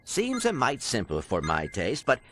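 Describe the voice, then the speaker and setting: An actor voicing a polite, earnest little robot secret agent in a video game, with formal, precise wording. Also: male voice, mid-range voice, wildly swooping pitch, normal speed, music in the background. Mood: folksy bluffing. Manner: formal, precise diction without contractions. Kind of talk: video game cutscene acting.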